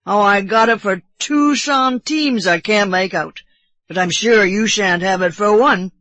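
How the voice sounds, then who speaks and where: An audiobook narrator voicing a brash, rough, drunken sailor, a man who blusters with belligerent scorn. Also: medium pitch, a little slow, sharp tone, no echo. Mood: defiant, boastful. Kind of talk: audiobook character voice.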